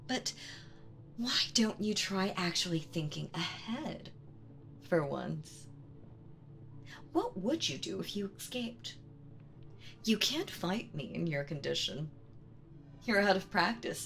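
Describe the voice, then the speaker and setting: A woman voicing a seductive, domineering demon queen in a dramatic audio roleplay, speaking theatrically and deliberately with teasing menace. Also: lowish voice, very animated, vocal fry, a bit bright, soft background music, heavily acted. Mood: domineering, menacing.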